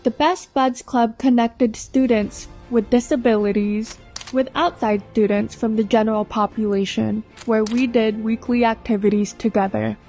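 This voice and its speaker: A young woman from the Philippines, a pageant contestant, giving an earnest speech and a measured scripted voice-over. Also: fairly high pitch, steady tone, warm tone, faint music behind.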